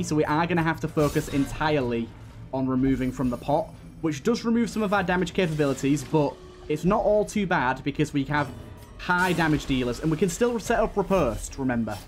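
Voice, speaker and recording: A man hosting a let's play, giving running commentary on a game. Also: a little high. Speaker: gaming video host. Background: game music.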